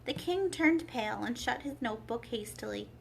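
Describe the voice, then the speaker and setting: A woman narrating a children's storybook aloud as a library storyteller, in an expressive read-aloud style. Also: on the high side, moderate pace, gravelly, a little hiss or hum, poor audio.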